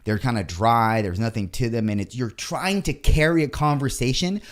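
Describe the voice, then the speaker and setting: A man's voice: mid-range voice, normal speed. A man hosting a podcast, chatting casually and rambling with fillers and the odd swear word, recorded on a studio microphone. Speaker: podcast host. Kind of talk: casual interview chat.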